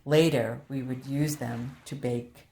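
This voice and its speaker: A woman hosting storytime for young children, speaking in simple, deliberate phrases as she reads aloud or explains a craft. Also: extremely low pitch, steady tone, vocal fry, dark tone. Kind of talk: picture-book read-aloud and craft demonstration for young children. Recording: roomy echo with faint background noise.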